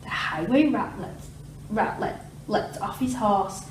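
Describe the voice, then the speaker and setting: Slow, expressive woman reading a children's story. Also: medium pitch. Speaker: primary school teacher reading aloud. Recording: roomy, distant mic with clear background noise.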